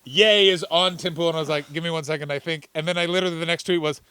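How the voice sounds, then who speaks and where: A man co-hosting a comedy wrestling podcast, riffing loudly, swearing often and playfully arguing. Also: on the high side, fairly even intonation, rapid-fire, smooth, dry recording. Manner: profane, riffing, playfully argumentative. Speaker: podcast co-host. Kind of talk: casual comedic chat.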